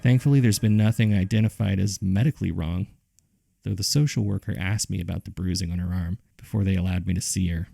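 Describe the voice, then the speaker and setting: A man with a gravelly, husky voice reading scripted horror case files in a measured, subdued way, as narrator and host. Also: low voice, fairly even intonation, mellow, dry recording.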